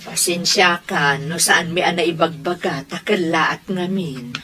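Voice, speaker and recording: A woman's voice: on the low side, a little slow, music in the background, lightly acted. A woman with an Ilocano accent playing a tender, anxious middle-aged mother, speaking with emotion. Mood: apologetic.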